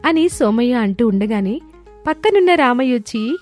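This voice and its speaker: A woman's voice: fairly high pitch, expressive, normal speed, darkish tone. A woman reading a scripted moral story as its narrator. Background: background music.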